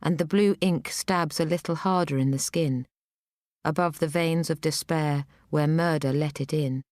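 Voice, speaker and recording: Low female voice, solemn poetry recital, deliberate, grave, dry close sound. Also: steady tone, darkish tone, dry recording. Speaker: poetry reader.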